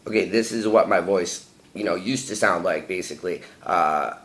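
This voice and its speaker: A woman pushing her voice far down to show the low, husky male-sounding voice she used to have, talking haltingly with fillers. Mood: demonstrating. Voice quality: forced-down low, husky from smoking.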